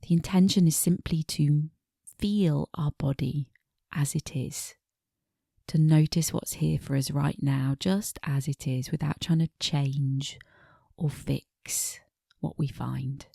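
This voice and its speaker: A woman meditation teacher guiding a body scan in a gentle, unhurried manner, pausing between short phrases, with soft phrase endings that fade toward breathiness. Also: low voice, fairly even intonation, creaky, slightly rough, dry recording, studio quality.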